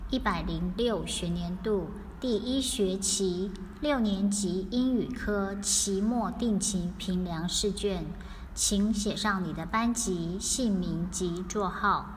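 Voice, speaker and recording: A woman reading out a scripted listening test in a deliberate, carefully enunciated dictation style. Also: medium pitch. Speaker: English listening test reader. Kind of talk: scripted exam narration, slow and repeated. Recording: long hall reverb, faint background noise.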